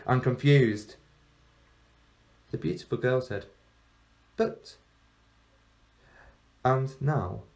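A man reading a story aloud like a teacher, in measured phrases with deliberate pauses. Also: medium pitch, darkish tone. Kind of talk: storybook narration.

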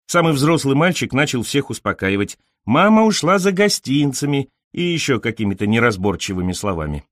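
Man narrating an audiobook, very lively intonation. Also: medium pitch, dry recording, studio quality. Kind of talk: scripted literary narration.

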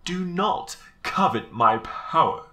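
A man's voice: fairly high pitch, animated, takes it slowly, sharp tone, full character voice. A man playing a stern, imperious knight for a game, speaking solemnly through a metallic filtered reverb effect. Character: stern, imperious male armored knight racer. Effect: metallic convolution reverb, filtering. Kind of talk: game character voice acting.

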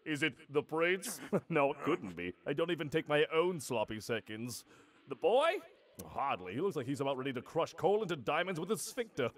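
Pompous high-pitched monster villain. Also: male voice, big pitch swings. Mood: mocking, amused. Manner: theatrical, sardonic, drawn-out phrasing. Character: pompous, vain, menacing male monster villain. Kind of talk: parody anime dub acting.

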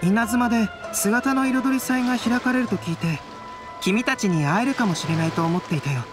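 A man voicing a calm, polite young painter in an anime game, with a composed, soft-spoken, reserved manner. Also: high voice, normal speed, music in the background, close to an everyday voice. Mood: calm, friendly. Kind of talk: Japanese anime game voice acting.

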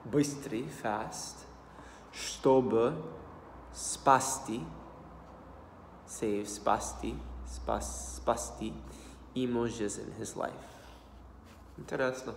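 A man in his thirties with an American accent, practicing a new language aloud at his desk, repeating words slowly and haltingly. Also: medium pitch, fairly even intonation, vocal fry, a little hiss or hum. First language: English. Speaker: language learner. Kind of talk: self-study vlog, reading phrases aloud. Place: small room indoors. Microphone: laptop webcam at desk. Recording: roomy echo with long reverb.

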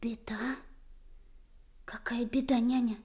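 A woman playing a timid, secretive young boy in a radio drama, with anxious, hushed delivery. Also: on the high side, level tone, slow pace, husky. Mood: nervous curiosity. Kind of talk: Soviet radio drama acting.

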